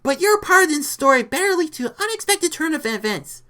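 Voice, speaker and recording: A woman's voice: sits high, sing-song, moderate pace, pitched above the performer's own voice. A woman voicing a tired woman traveler in a storybook, blunt, exasperated and complaining. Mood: grumbling.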